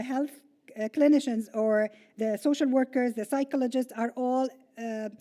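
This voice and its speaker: Halting woman presenting to a room. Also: a little high, dark tone. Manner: hesitant, many fillers and restarts. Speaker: school programs staff presenter. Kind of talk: presentation with slides. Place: meeting room.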